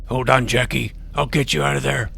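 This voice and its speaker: A man playing an earnest, nerdy schoolboy superhero who narrates his own adventure in a deadpan first-person style. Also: medium pitch, brisk, vocal fry, heavily acted. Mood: defiant. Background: music.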